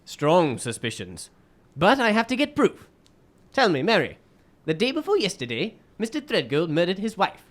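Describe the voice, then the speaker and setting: A man playing a shrewd, commanding detective, lively intonation. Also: high voice, moderate pace, full character voice. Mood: shrewd, probing. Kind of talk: radio drama acting.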